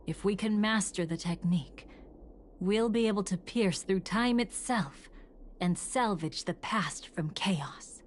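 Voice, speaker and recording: A woman acting in a video game as a composed, knowledgeable keeper of memories, formal and deliberate. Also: mid-range voice, a little hiss or hum, natural voice.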